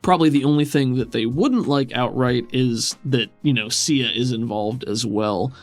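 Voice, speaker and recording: A man's voice: mid-range voice, moderate pace, faint music behind. A man running a tabletop game as game master, narrating and explaining rulings in a casual, conversational way.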